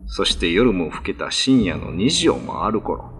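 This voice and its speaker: A man tells an old ghost story as a radio narrator. His voice sits low and moves with lively expression, and music plays clearly underneath the scripted, dramatic reading. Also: a little slow. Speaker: storyteller, actor.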